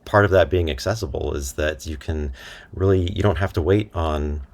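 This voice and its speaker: A man speaking casually as an interview guest, thinking aloud in a halting, conversational way. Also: a bass voice, a little mellow. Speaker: interview guest, audio drama creator and voice actor.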